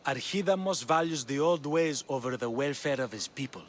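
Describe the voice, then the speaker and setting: Male actor, Spartan authority figure, video game drama, mid pitch, wry, shrewd, grave, background ambience. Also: lively intonation, moderate pace, a little hiss or hum, close to an everyday voice. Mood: grave, disdainful.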